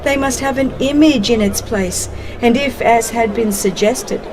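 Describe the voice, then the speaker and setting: A woman reads a religious history as a dramatized audiobook, in a solemn, measured manner with deliberate phrasing. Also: a little high, background noise. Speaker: audiobook narrator. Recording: music under the voice. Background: background music.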